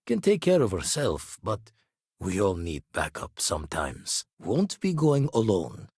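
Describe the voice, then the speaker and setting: A man voicing a weathered, shrewd ex-pirate spacefarer in a video game, guarded but loyal, with a husky voice and theatrical, slangy turns of phrase. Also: medium pitch, dry recording, clean studio sound, exaggerated voice. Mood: wry, reflective. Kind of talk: scripted game character dialogue.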